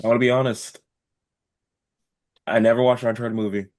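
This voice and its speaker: A man's voice: medium pitch, little pitch movement, fairly quick. A man co-hosting a podcast, chatting casually in a blunt, playful way. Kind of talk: casual chat.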